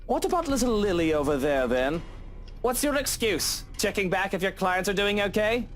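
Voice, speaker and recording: A man's voice: sits high, very animated, normal speed, faint music behind, voice pushed up. A man voicing a pompous, sneering wealthy homeowner with a theatrical, mocking manner.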